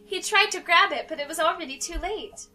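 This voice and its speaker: A woman's voice: very high voice, fairly quick, sharp tone, distant mic, faint music behind. A young woman reading a visual novel game aloud in a playful way, mixed with casual banter. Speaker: let's play host.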